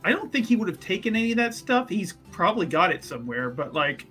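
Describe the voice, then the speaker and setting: A man at a tabletop roleplaying game, casually and hesitantly thinking out loud about the details. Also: high-pitched, sing-song, fast talker, soft background music.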